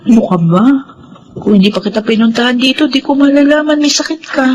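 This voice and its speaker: An actress playing a caring, devout young best friend in an expressive Filipino radio drama style. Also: female voice, sits high, brisk, dull audio, slight background noise. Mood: caring, chiding. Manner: nurturing, expressive.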